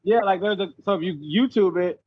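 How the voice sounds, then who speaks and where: A man's voice: high-pitched, fairly even intonation, fast talker, no room sound. A man chatting casually as a podcast guest, slangy and informal, on slightly muffled audio. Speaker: podcast guest, cornhole player. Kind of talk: casual chat. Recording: slightly dull, muffled sound.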